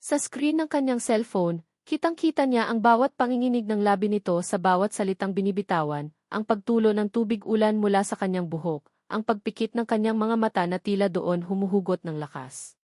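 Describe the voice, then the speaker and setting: A woman's voice: medium pitch, a little fast, a little mellow, dry recording. Filipino woman narrating with a dry, close sound. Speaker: story narrator. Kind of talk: scripted dramatic narration of a love story.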